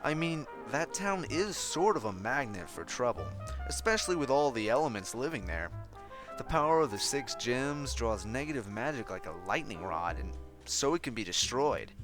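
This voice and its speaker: A man's voice: medium pitch, sing-song, normal speed, lightly acted. A man voicing a concerned, kindly pony princess in a story reading, earnest and worried, with music behind.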